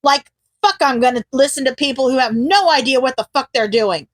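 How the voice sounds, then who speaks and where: An excitable young man voiced by a woman in an audio fan story, with a high voice and an emphatic, highly strung manner. The sound is close and dry. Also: fairly quick, no echo. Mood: indignant. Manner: indignant, emphatic, cursing, nervous stammering. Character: ranting, foul-mouthed, excitable young man, a disaster specialist.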